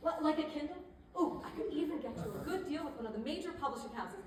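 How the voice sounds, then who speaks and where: A woman's voice: high-pitched, animated, fast talker. A woman playing a chatty, pushy but tactful image consultant in a stage comedy, conversational and persuasive with hesitant asides. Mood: upbeat, persuasive. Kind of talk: theatrical stage comedy acting. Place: indoor theater stage. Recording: echoey theater, distant mic, faint noise.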